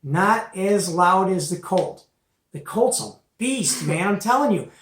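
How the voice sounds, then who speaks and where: A man's voice: high-pitched, animated, a little slow, a bit bright. A middle-aged man giving a casual, folksy and opinionated product show-and-tell as the host. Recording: echoey, roomy home recording.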